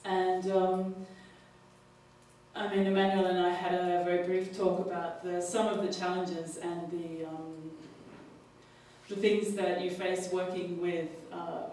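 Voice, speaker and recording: An Australian woman speaking at a panel discussion, halting often with fillers and restarts. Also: lowish voice, level tone, dark tone. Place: large public hall. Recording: echoey hall, distant mic, faint noise.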